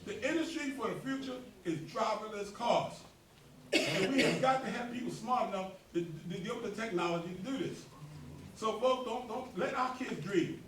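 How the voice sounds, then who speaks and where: A man presiding over a neighborhood meeting, talking emphatically and working up to near shouts as he urges the room. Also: high voice, lively intonation, moderate pace, noisy background. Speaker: association president hosting a meeting. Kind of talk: animated speech to a community meeting. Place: community meeting hall. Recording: roomy hall, mic from a distance, faint ambience.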